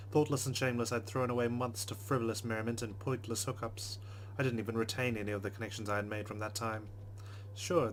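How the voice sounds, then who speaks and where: A man's voice: fairly low pitch, slight background noise, rough recording. A man reading a visual novel aloud as a let's player, slipping between narration and casual commentary.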